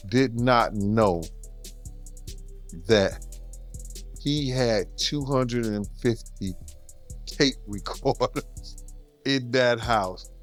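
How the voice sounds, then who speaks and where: A man gives casual commentary, slangy and emphatic, repeating his points for effect. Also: mid-range voice.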